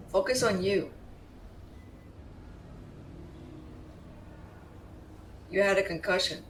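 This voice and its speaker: A woman's voice: medium pitch, a bit bright, far from the mic. A woman playing a fiercely protective, tender tsundere girlfriend in an intimate, emotional roleplay audio, blunt in her affection. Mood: relieved, stubborn. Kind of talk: dramatic roleplay audio, intimate second-person acting.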